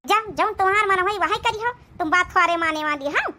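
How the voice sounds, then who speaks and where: A woman's voice: very high-pitched, brisk, pushed and bright. A woman voicing a nagging, sarcastic older village mother-in-law in a comic cartoon, scolding and grumbling with an Awadhi accent.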